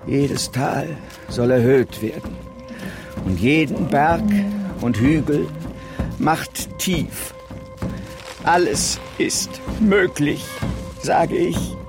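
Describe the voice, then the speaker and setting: A man's voice: medium pitch, creaky. A man acting a glib, self-aggrandising agency boss in a satirical radio drama, talking in grand corporate rhetoric. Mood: rapturous. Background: music.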